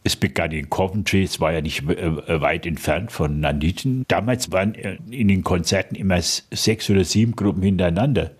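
Deep, husky older man reminiscing. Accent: Franconian. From Franconia, Germany. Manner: slow, thoughtful, frequent hesitations with "äh". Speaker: interview guest, dialect poet. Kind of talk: radio interview, reminiscing.

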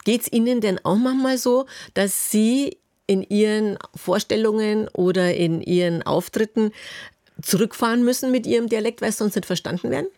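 A woman's voice: mid-range voice, moderate pace, dark tone, no room sound, studio quality. A woman hosting a radio call-in in a conversational interviewing style. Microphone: close, dry studio microphone.

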